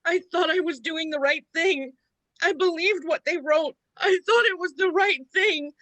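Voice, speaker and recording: Woman acting a high-voiced, weary, remorseful mother. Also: moderate pace, fairly bright tone, no room sound, poor audio. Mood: tearful, pleading. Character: weary, remorseful middle-aged mother, tender. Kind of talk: radio play acting and first-person narration.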